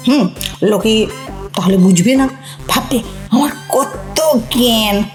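A woman acting an eager, conceited would-be poetess in a comic audio play, excitable and theatrical. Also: fairly high pitch, slow pace, roomy sound, soft background music. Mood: self-important. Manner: excitable, theatrical, self-important.

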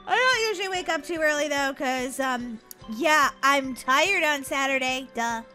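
A woman's voice: high-pitched, expressive, measured pace, bright, forceful tone, soft background music, heavily acted. A woman voicing a dramatic, whiny young schoolgirl in a childish game roleplay skit. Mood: relieved, musing.

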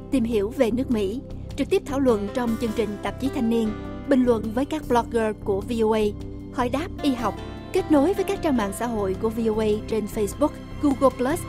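High female promo announcer over prominent music.

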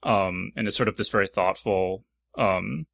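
Male panel host: crisp bright edge, lots of fry, halting thoughtful delivery. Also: low voice, no room sound, studio quality. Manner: hesitant, thoughtful, repeating words. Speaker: podcast host. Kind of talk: casual panel discussion.

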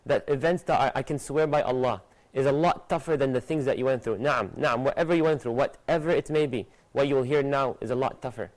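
Fast-talking young man teaching on TV. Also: medium pitch. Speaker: TV presenter of religious lessons. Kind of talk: religious teaching to studio guests. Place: TV studio with guests. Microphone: lapel mic.

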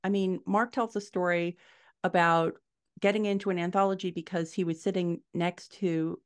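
A middle-aged American woman chatting as a guest author on a podcast, thinking aloud as she explains. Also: lowish voice. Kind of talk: casual podcast interview. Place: home room, indoors. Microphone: video call, desktop mic.

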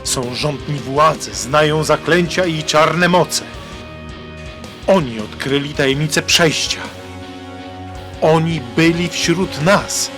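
A man acting a grave, protective father in a radio drama, speaking solemnly with dramatic pauses. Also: fairly high pitch, over music. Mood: ominous, forceful. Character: grave protective father, secret guardian of a time gate.

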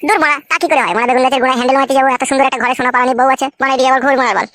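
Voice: high-pitched, level tone, racing, fairly bright tone, no room sound, studio quality. A performer voicing a whiny, pleading, crude village husband in a comic cartoon. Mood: annoyed, demanding. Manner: nagging, wheedling, coarse-mouthed. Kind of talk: exaggerated comic cartoon dialogue.

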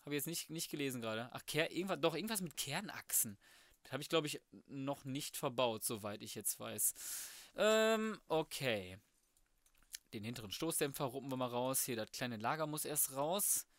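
A man's voice: mid-range voice, animated, normal speed, a bit bright, no room sound, clean studio sound. A man giving casual let's play commentary as a gaming host, talking to himself in flippant, colloquial slang. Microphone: close microphone, voice-over.

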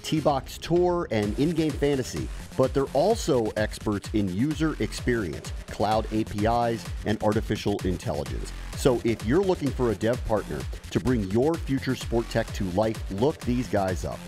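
A man's voice: medium pitch, animated, moderate pace, few pauses, warm tone, faint music behind. A man hosting a podcast, introducing guests and reading sponsor spots in an upbeat way. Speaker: podcast host.

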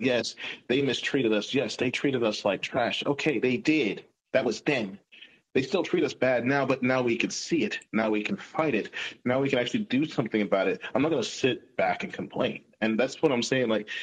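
A man's voice: mid-range voice, slightly rough, low-quality recording. A man in his thirties from Houston, hosting a casual call discussion, speaking earnestly and restarting often. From Houston, United States. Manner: earnest, hesitant, many restarts. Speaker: podcast host.

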